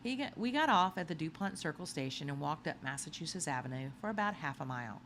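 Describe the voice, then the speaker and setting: A woman reading a children's novel aloud as scripted narration, with expressive storytelling. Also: sits low, quick pace, slight background noise. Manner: expressive storytelling with acted dialogue. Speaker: audiobook reader.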